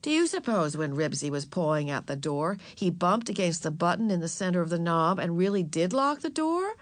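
A woman's voice: lowish voice, big pitch swings, a little fast, no echo. Woman playing a firm, exasperated storybook mother. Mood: puzzled. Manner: scolding, firm. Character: stern, exasperated mother of young children.

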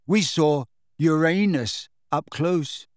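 A man voicing a dazed teenage boy in a storybook, slow, giggly and feeble. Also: a little high, dry recording, studio quality. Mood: dazed, giddy.

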